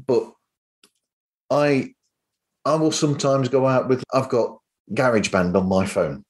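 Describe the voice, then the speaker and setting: A man's voice: mid-range voice, expressive, a little slow. A man hosting a podcast in casual chat, rambling along with enthusiasm. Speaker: podcast host.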